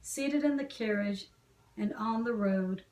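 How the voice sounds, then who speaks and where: A woman's voice: mid-range voice, slow, a little mellow. A woman reading a classic novel aloud as an amateur audiobook narrator. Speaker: volunteer audiobook reader. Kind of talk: scripted literary narration. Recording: echoey room, slightly distant mic.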